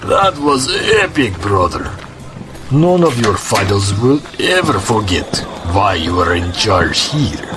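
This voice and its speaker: An actor playing a swaggering, easygoing sidekick in an audio drama, laid-back and boastful. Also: male voice, fairly low pitch, big pitch swings, slow, poor audio. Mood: admiring. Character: swaggering, easygoing male sidekick, loyal fight-world crony. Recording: music behind. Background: music.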